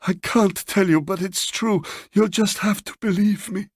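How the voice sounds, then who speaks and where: Man voicing an anxious, high-pitched pleading woman. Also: unhurried, no room sound. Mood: desperate, insistent. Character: anxious, pleading foreign woman visitor, haunted and desperate.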